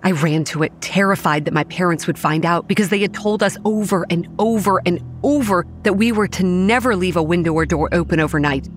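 A young woman acting as narrator of a horror audio drama, recounting grim childhood memories in a measured, matter-of-fact way. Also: fairly low pitch, slight background noise, natural voice. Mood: grave, measured. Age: young adult. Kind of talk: scripted first-person horror narration. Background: soft music.

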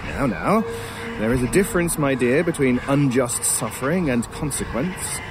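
A man's voice: medium pitch, big pitch swings, moderate pace, over music, lightly acted. A man playing a wry, teasing talking crow in an audio drama, with an arch, genteel, theatrically polite manner. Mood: teasing, unruffled. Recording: clearly noisy bed of bird sounds. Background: crows cawing, outdoor ambience.

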